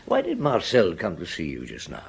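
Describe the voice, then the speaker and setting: An actor playing a patient, shrewd police detective in a radio drama, coaxing answers in a husky voice. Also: male voice, low-pitched, huge pitch swings, a little hiss or hum, full character voice. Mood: gently probing. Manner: probing, coaxing, unhurried questioning. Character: patient, shrewd male police detective gently questioning a boy.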